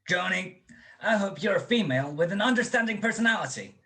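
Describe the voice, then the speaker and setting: A man's voice: sits high, animated, a little fast, vocal fry, bright, forceful tone, exaggerated voice. A man playing a hot-headed, bombastic young football bruiser in 1940s radio comedy style. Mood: furious.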